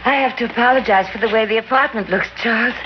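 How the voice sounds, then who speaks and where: A woman's voice: fairly high pitch, quick pace, close to an everyday voice. A woman playing a sly, coy schemer. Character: scheming woman luring a young man into a trap. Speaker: radio drama actress. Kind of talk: 1940s-50s radio drama acting. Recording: muffled vintage radio recording with hiss.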